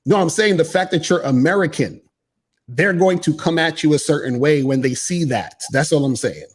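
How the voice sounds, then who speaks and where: A man's voice: on the high side, animated, normal speed, husky. A man in casual debate, pressing the same point over and over with rephrasing and restarts. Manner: insistent, repeats himself. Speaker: dating coach. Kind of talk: argumentative casual chat.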